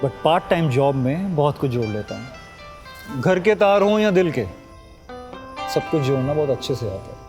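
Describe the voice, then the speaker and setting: A man playing a smooth-talking, flirtatious model and handyman, suave and dropping English phrases into his talk. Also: on the high side, animated, moderate pace, long pauses. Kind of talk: Hindi web series acting. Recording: romantic guitar music behind.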